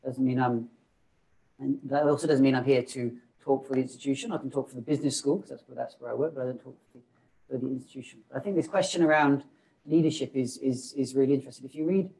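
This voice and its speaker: A man's voice: medium pitch, steady tone, vocal fry, warm tone, poor audio. A middle-aged man on a panel who speaks with many repeated words and restarts, recorded on a lapel mic in an indoor room. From not South Africa. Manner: fast, stumbling with many repetitions. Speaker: business school panelist. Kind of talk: panel discussion.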